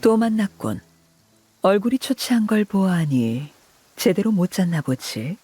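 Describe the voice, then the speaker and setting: A woman voicing a cool, shrewd, commanding woman with quiet menace in a video game, husky, unhurried and imperious. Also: medium pitch, sing-song, no echo, faint music behind. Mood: cool, teasing.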